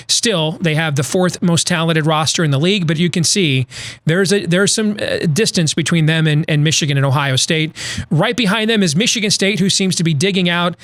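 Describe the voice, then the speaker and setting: A man's voice: a little high, fairly bright tone, no echo. A man hosting a podcast, giving a conversational college football analysis with frequent restarts and self-corrections. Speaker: podcast host.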